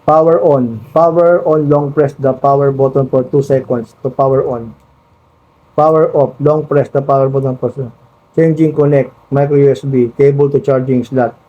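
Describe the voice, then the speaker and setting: A man's voice: medium pitch, fairly even intonation, normal speed, muffled recording. A Filipino man hosting a casual hands-on tutorial vlog, chatting directly to his viewers. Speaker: vlogger hosting an unboxing and installation.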